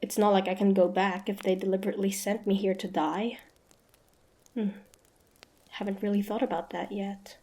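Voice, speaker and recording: A woman playing a wary, sharp-tongued young demon hunter with a prickly but grudgingly softening manner, in a fantasy roleplay audio drama. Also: mid-range voice, fairly even intonation, normal speed, pauses often, lightly acted. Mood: uncertain, wary. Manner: guarded, sharp-tongued, tsundere.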